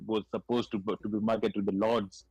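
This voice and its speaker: A man's voice: on the low side, little pitch movement, fairly quick, darkish tone, breathy edge, dry recording, low-quality recording. A man co-hosting a talk show, explaining at length and often repeating words as he restarts. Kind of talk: casual conversation.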